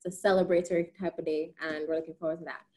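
A woman's voice: low-pitched, little pitch movement, rapid-fire, a little mellow. A woman of Jamaican background hosting a live special over a video call, upbeat and inviting as she asks her guests questions. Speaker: host.

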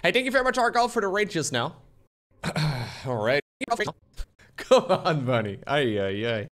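A young man live-streaming from his room, talking to chat with a bouncy, animated delivery. He jokes around and reacts freely, his pitch sweeping up and down as he goes. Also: moderate pace. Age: young adult. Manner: lively, joking, reads chat aloud. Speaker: streamer editing a video. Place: small room at home, indoors. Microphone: desk mic, wearing headphones, webcam framing.

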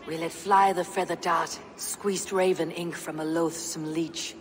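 Viking warrior for game cutscene, acted: mid-pitched, steely, poetic, ambient noise behind. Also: fairly even intonation, unhurried, lightly acted. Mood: grimly boastful. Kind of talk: video game cutscene acting.

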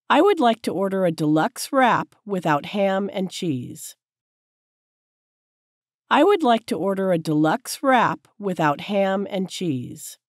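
A woman teacher reading short sentences slowly and clearly, each one said several times for learners to repeat. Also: medium pitch, no room sound, studio quality. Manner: careful, clear enunciation. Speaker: English teacher. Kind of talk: slow repeated drill sentences.

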